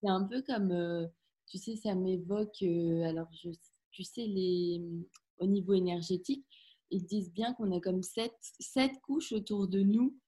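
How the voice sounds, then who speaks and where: A woman's voice: medium pitch, steady tone, measured pace, mellow, husky. A woman hosting a podcast interview, asking curious questions and often backchanneling with short murmurs. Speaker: podcast host.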